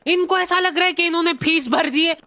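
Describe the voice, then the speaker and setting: A woman's voice: very high-pitched, fairly even intonation, fairly quick, pushed and bright. A woman narrating a comic cartoon story in casual slang, with exaggerated mock exasperation. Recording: music behind the voice. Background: faint music.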